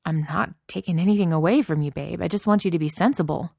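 A woman's voice: on the low side, fast talker, creaky, mellow, no room sound, clean studio sound. A woman voicing a dismissive, condescending young man who talks down to his partner in a placating tone.

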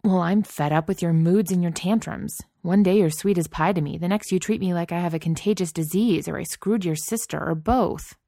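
A woman's voice: lowish voice, normal speed, gravelly, a little mellow, no room sound, studio quality. A woman voicing a dismissive, condescending young man who talks down to his partner in a placating tone.

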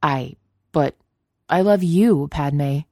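Guilty young man, flustered, stumbling, low dark voice, dry sound, read by a woman. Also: extremely slow, no echo, full character voice.

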